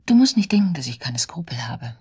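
An actress playing a cold, resolute wife plotting murder in a German radio drama, intense and decisive, with a breathy, husky voice that often drops to a whisper. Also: female voice, low voice, sing-song, a little fast, a little mellow, exaggerated voice. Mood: conspiratorial.

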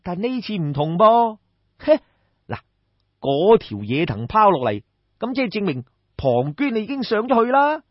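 A man's voice: sits high, sing-song, normal speed, dry recording, muffled recording. A man with a Cantonese accent voicing a brash, crafty young schemer, exclamatory and coaxing, in a storybook tale. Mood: coaxing, insistent.

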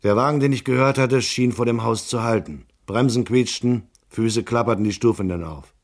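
A man's voice: mid-range voice, moderate pace, natural voice. A man playing a tough private detective who narrates a hard-boiled radio crime drama tersely and matter-of-factly. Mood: laconic. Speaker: first-person narrator.

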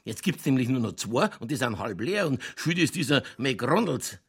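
A man playing a boozy, world-weary middle-aged police chief in a radio play, with a Lower Bavarian accent and a rambling, tipsy, cynically jovial delivery. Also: big pitch swings, moderate pace, husky, heavily acted. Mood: conspiratorial, indignant. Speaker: actor in a crime radio play. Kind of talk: naturalistic German radio drama dialogue.

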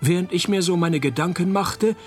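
Actor as deadpan private detective. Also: male voice, sits high, moderate pace, faint music behind, close to an everyday voice. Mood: deadpan narrating. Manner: deadpan, dry, sardonic. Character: laconic, wisecracking male private detective. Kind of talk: radio play narration and dialogue.

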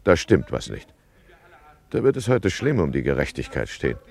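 A grumbling old-fashioned town judge. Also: male voice, very deep voice, big pitch swings, moderate pace, vocal fry, darkish tone, husky, heavily acted. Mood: rueful. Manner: grumbling, storytelling narration. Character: fussy, weary male town judge. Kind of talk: 1950s German radio play acting.

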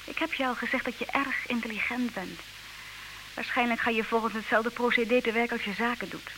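An actress playing a proud, disillusioned wife in an old radio play, speaking in measured, reproachful, theatrical diction. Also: female voice, on the high side, steady tone, lightly acted. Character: disillusioned, proud wife leaving her clever husband. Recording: hissy old radio recording, clear noise.

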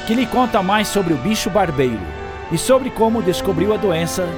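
A man's voice: high-pitched, lively intonation, moderate pace. A man with a Brazilian accent narrating a scripted radio drama in a dramatic storytelling manner. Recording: music under voice.

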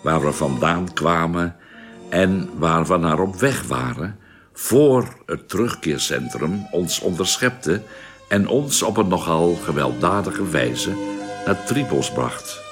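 An actor playing a spaceman who narrates his journey in a science-fiction radio drama, in a deliberate, descriptive manner. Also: deep voice, lively intonation, soft background music. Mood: measured, recounting.

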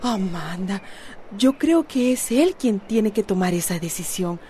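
An actress playing an earnest, pleading friend in a radio soap opera. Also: female voice, medium pitch, lively intonation, unhurried, dark tone, a little hiss or hum, natural voice. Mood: earnest, concerned. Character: earnest, pleading woman friend urging reason.

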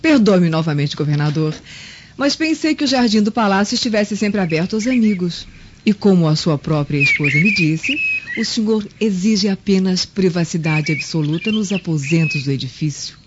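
A woman's voice: fairly low pitch, lively intonation, moderate pace, natural voice. An actress playing a sly Roman noblewoman in a radio drama, formal and mock-polite. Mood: insinuating. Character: sly, insinuating Roman noblewoman, governor's sister-in-law. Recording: muffled lo-fi recording with clear background noise.

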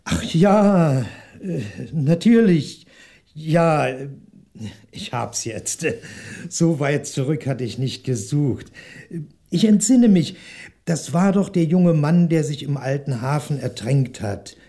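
An actor playing a polite, evasive French shopkeeper and ex-detective in a 1960s German radio crime drama, courteous but hesitant and stalling. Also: male voice, fairly high pitch, lively intonation, slow pace, dark tone, exaggerated voice. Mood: guarded.

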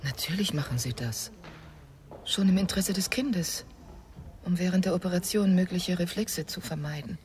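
An actress playing a decisive, expressive grandmother-to-be in a German radio drama. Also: fairly low pitch, normal speed, slight background noise, close to an everyday voice. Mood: brisk. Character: brisk grandmother-to-be, quietly delighted.

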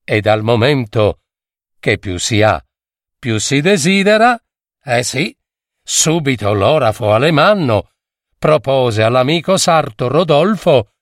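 A man narrating a fairy tale in a theatrical, deliberate way, with dramatic pauses between phrases. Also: medium pitch, big pitch swings, no room sound, studio quality. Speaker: storyteller, adapter and reader of fairy tales.

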